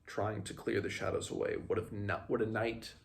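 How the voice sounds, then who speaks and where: A man in his twenties talks through a book in a very deep voice with plenty of vocal fry. He moves between retelling and reading aloud, a little haltingly, restarting as he goes. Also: level tone, slight background noise. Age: 20s. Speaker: book reviewer. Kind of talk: book read-along, recapping and reading aloud. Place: small room at home, indoors.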